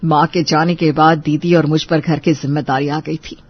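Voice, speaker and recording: A woman's voice: low-pitched, little pitch movement, normal speed, slightly rough, lo-fi sound. A woman playing a tough, protective lawyer elder sister in an audio story, assertive yet reassuring. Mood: proud, reassuring. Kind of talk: Hindi audio story acting.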